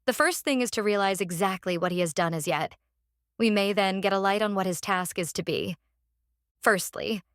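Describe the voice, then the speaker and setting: Woman acting as devoted young wife, earnest diarist, careful and deliberate, audiobook drama, very dry sound. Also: mid-range voice, dry recording, close to an everyday voice. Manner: careful, deliberate, reasoning aloud. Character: Mina Harker, earnest devoted young wife writing her journal. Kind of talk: dramatic audiobook narration of a diary.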